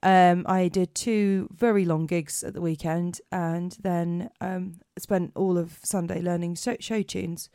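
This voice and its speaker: A woman with a hoarse voice co-hosting a podcast, chatting casually with many fillers. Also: lowish voice, fairly even intonation, unhurried, few pauses, warm tone, no echo, clean studio sound. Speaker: podcast co-host. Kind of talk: casual chat.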